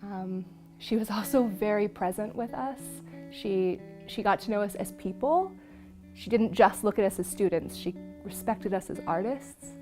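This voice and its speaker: A woman's voice: mid-range voice, normal speed, darkish tone. A woman who teaches theatre, talking reflectively in a documentary interview. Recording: prominent guitar music behind the voice. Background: guitar music.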